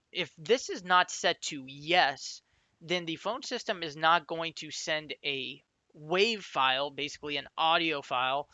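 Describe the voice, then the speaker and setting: A man's voice: a little high, sharp tone, no echo, dull audio. A man giving an informal, explanatory step-by-step screencast tutorial in a conversational way, as an instructor.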